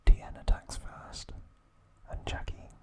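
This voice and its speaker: A man narrating ASMR trivia in a soft, breathy whisper, phrasing everything deliberately. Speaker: ASMR host.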